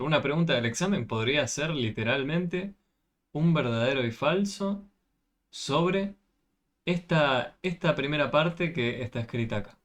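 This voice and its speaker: A man's voice: medium pitch, a bit bright. A man tutoring a math class over a video call, patiently explaining and often checking with questions.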